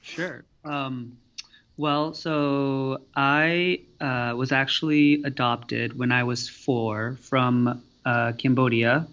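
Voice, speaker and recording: A man from the US answering interview questions in a halting, storytelling way, with many fillers. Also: medium pitch, steady tone, fairly bright tone. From United States. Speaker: guest, student affairs professional. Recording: dull lo-fi audio with faint noise.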